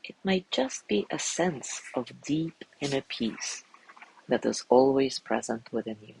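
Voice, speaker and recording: A man guiding a sleep meditation in a gentle, soothing way, softly spoken and unhurried, with long pauses between phrases. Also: mid-range voice, expressive, creaky, slight background noise, poor audio. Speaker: meditation guide.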